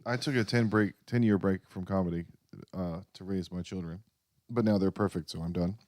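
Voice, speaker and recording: A man's voice: deep voice, steady tone, a little fast, a little mellow. A man doing casual comic banter, riffing wryly in short quips like a comedian among friends. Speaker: comedian, podcast co-host.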